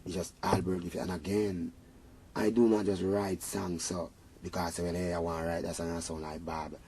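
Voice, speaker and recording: A man's voice: low voice, little pitch movement, moderate pace, mellow, slight background noise. A Jamaican man, a reggae singer being interviewed, talking in an earnest, rambling way with patois phrasing. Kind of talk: casual interview talk.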